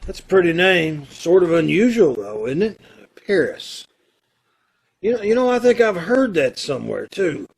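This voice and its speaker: A man acting a kindly, earnest condemned prisoner in an old-time radio play, with hesitant, folksy phrasing and a gravelly, husky voice. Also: sits high, sing-song, full character voice. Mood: gentle, curious. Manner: hesitant, halting, folksy and informal.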